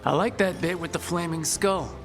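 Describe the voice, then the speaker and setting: An actor playing a laid-back heroic adventurer in a video game, with a casual, wry, brief way of talking. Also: male voice, on the high side, normal speed, soft background music, close to an everyday voice. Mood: friendly.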